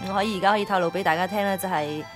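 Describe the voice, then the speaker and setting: A woman's voice: mid-range voice, racing. A woman hosting a radio storytelling show, eager and teasing as she builds up the next story. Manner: bright, eager, teasing the audience. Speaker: radio host. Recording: faint music underneath.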